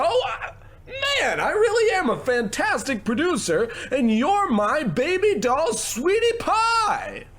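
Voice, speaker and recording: Pushy showbiz producer, really high voice, all over the place, gushy and bossy, old radio comedy. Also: male voice, exaggerated voice. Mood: excited, boastful. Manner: bossy, gushing, condescending pet names. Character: pushy, self-important fast-talking showbiz producer man.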